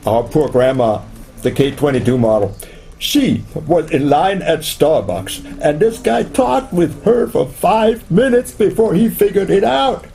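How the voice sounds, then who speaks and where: Radio play role: domineering male tycoon, acted by a man, demanding, dark-toned, highly animated intonation. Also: measured pace, creaky, exaggerated voice. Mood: excited, pleased. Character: brash, domineering male corporate boss, gleeful and demanding. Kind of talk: scripted radio play acting.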